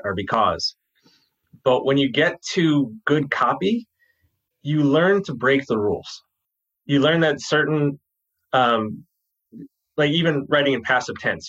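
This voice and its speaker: Husky Jersey-accented copywriter. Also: male voice, mid-range voice, lo-fi sound. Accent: New Jersey. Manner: relaxed, chatty, drops his g's. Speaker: copywriter, guest. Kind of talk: casual interview talk.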